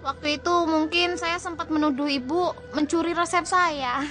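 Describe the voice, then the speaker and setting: An actress playing a middle-aged woman shopkeeper who comes to apologize, speaking politely and haltingly in a radio drama. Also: high-pitched, fairly even intonation, over music, natural voice. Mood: apologetic.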